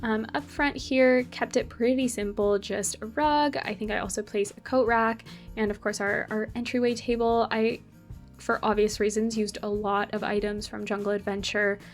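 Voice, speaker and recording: A woman's voice: medium pitch, level tone, moderate pace, soft background music. A young woman from Argentina, casually talking through a game build as channel host, rambling with many fillers and self-corrections. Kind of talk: casual build commentary over gameplay.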